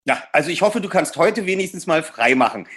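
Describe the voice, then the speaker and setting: A man's voice: fairly high pitch, expressive, brisk, a bit bright. A man hosting a podcast interview, asking easygoing, friendly questions. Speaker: podcast host interviewing an author. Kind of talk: casual interview.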